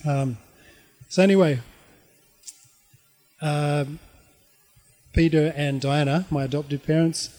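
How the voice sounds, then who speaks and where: A middle-aged man with an Australian accent tells his life story informally, in a halting, reflective way. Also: on the high side, fairly even intonation, mellow, faint music behind.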